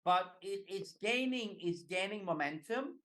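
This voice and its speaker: A man in his forties from Greece talks loosely, slowing down with fillers and small laughs now and then. His voice sits fairly high, and he comes through a video call. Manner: relaxed, halting, many fillers and light laughs. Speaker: guest, executive coach. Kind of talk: casual interview chat.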